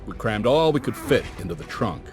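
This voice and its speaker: A folksy older adventurer tells his tale in a low voice with slangy, reflective phrasing. It sounds like a cinematic game cutscene, with music clearly playing behind him. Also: big pitch swings, fairly quick. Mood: wry, reminiscing. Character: folksy older male adventurer, warm storytelling uncle type.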